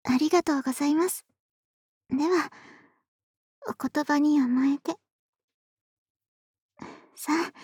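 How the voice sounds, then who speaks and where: Female actor: sweet, affectionate girl-next-door adult for ASMR roleplay; extremely high, gentle, polite delivery, dry close-mic sound. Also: no room sound. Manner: soft, gentle, polite, intimate murmuring with breathy whispers. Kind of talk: ASMR situation voice drama. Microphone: close dry studio microphone.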